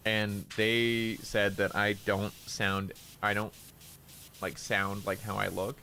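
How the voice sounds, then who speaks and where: A young man streaming who chats casually about himself, hesitant and rambling with many fillers, deliberately lowering his voice. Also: little pitch movement, sharp tone, slight background noise. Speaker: VTuber streamer. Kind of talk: casual stream chat while gaming.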